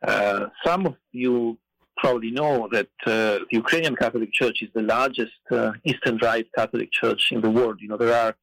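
Middle-aged Italian-accented man, dry studio sound, halting storyteller. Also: medium pitch, vocal fry, fairly bright tone, no room sound, poor audio. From Italy. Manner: hesitant, many "uh" and "you know" fillers. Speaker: guest, theology professor. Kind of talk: podcast interview storytelling.

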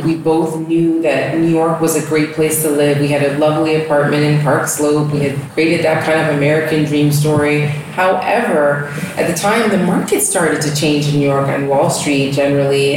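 A woman's voice: low-pitched, a little mellow. A woman raised in the US, talking reflectively about her life as a guest in an interview at home, with fillers as she goes. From Ghana, raised in the US. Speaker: guest, yoga studio founder. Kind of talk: casual interview talk. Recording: echoey room, distant mic, background noise.

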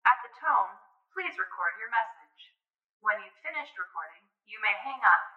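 A woman's voice: mid-range voice, expressive, moderate pace. Tinny phone line, distant, woman as automated voicemail announcer, impersonal, formal, procedural. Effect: telephone filter. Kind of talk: recorded telephone announcement.

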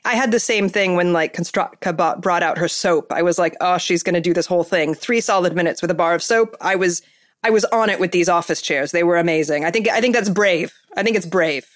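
A woman's voice: fairly low pitch, a little fast. A woman hosting a podcast, chatting casually and enthusiastically, with lots of fillers and restarts. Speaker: podcast host. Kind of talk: casual chat.

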